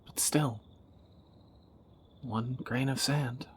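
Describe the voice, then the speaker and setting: A man playing a teasing, protective captor turned lover in a romantic fantasy audio roleplay, bantering and soft-spoken. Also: medium pitch, steady tone, drawn-out words, a little hiss or hum. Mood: worried, tender.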